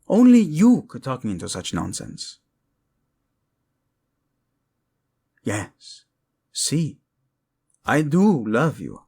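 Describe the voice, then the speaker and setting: An actor playing a protective, lovesick king in a romantic audio drama, speaking tenderly and haltingly with a Spanish accent. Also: medium pitch, slightly rough, heavily acted. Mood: displeased, flustered. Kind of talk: intimate romantic audio drama acting addressed to listener.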